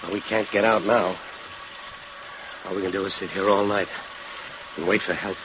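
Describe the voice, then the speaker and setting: A man's voice: low-pitched, steady tone, moderate pace, lightly acted. An actor playing an anxious but resolute husband protecting his wife in a 1950s-style radio drama. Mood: tense. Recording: old muffled radio recording, heavy noise.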